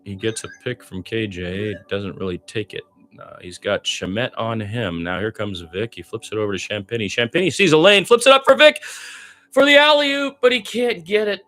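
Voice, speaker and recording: A man's voice: fairly low pitch, normal speed, pushed and bright. A man doing live radio basketball play-by-play in a conversational, opinionated, exclamatory way.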